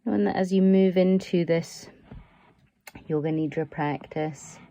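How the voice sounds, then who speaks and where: A woman guiding a relaxation meditation as a yoga teacher, soothing and unhurried, offering gentle, permissive instructions. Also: fairly low pitch, fairly even intonation, dry recording.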